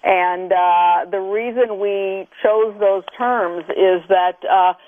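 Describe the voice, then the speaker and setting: Guest: deliberate, earnest woman, heard over a dry, thin phone line. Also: medium pitch, fairly even intonation, dry recording. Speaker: radio show guest, activist. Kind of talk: radio interview by phone.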